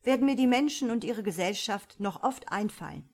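A breathless male will-o'-the-wisp spirit speaks politely and eagerly, as a woman narrator puts him on. His voice sits a little higher and louder than plain narration, at a mid pitch. It is a storybook voice. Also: expressive, normal speed. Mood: musing. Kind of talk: audiobook character voice.

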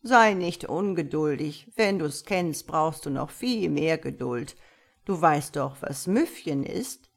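A woman voicing a wise, patient tomcat in a storybook, speaking in a measured, gently instructive and slightly pompous way. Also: deep voice, lively intonation, gravelly, exaggerated voice. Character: wise, patient male tomcat, calm mysterious mentor. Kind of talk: storybook character voice.

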